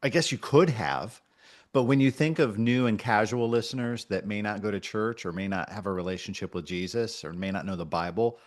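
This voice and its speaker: Low-pitched man, encouraging radio coach. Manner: encouraging, thoughtful, pauses mid-sentence. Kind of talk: conversational feedback session.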